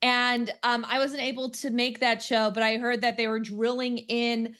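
A woman's voice: a little high, little pitch movement, moderate pace, fairly bright tone. A woman stand-up comic who stutters, talking casually in a radio interview with many restarts and easy laughter. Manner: frequent fillers and restarts, laughs easily. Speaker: stand-up comedian.

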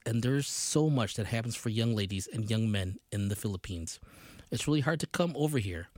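A man hosting a radio show, reading a news feature aloud in a steady voice and introducing songs. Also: medium pitch, no echo, studio quality. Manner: steady read-aloud delivery, quotes read plainly. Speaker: radio host.

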